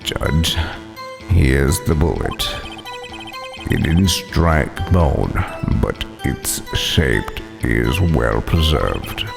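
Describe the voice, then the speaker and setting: Deep, gravelly, slow-talking smug prosecutor. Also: male voice, lively intonation, music in the background, full character voice. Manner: slow, deliberate, condescending delivery. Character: stern, sneering, smug veteran prosecutor. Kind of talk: courtroom drama game voice acting.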